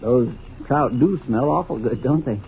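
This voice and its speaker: A man acting in a radio drama as a folksy, eager fire lookout, husky and plain-spoken with a hesitant, homespun manner. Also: medium pitch, normal speed, a little hiss or hum, close to an everyday voice. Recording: dull old radio drama recording.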